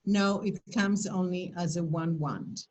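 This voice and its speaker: A woman's voice: on the low side, measured pace, darkish tone, slight background noise. An older woman from Poland with a Polish accent, presenting and answering questions in a webinar over a video call, speaking in an emphatic, motivational way.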